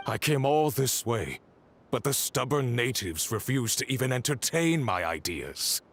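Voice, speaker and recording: Game villain: ambitious, bitter merchant, smug and theatrical, very animated intonation. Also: male voice, mid-range voice, slight background noise. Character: scheming resentful male merchant villain, arrogant and ambitious. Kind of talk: dramatic video game voice acting. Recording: game music behind.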